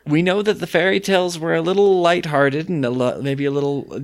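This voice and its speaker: A man's voice: fairly high pitch, fairly even intonation, brisk. A man co-hosting a podcast, talking casually in an easygoing, playful way. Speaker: podcast co-host. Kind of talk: casual conversational podcast chat.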